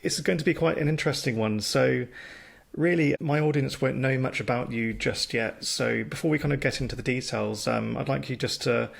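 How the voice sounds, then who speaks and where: Man, podcast host, casual interview, friendly, hesitant, gravelly. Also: mid-range voice, normal speed.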